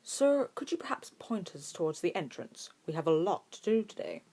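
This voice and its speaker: A woman voicing a calm, polite, caring father in a story reading, in close to her own voice. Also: on the low side, moderate pace. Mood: coolly polite. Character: calm, smooth, doting father, a working man.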